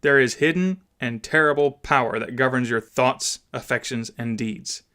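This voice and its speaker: A man voicing an indignant father and gentleman in an audiobook, speaking in a solemn, emphatic, oratorical way. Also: mid-range voice, normal speed, smooth, close to an everyday voice. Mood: stern, accusing. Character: indignant father, stern gentleman addressing an assembly. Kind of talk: dramatised audiobook reading.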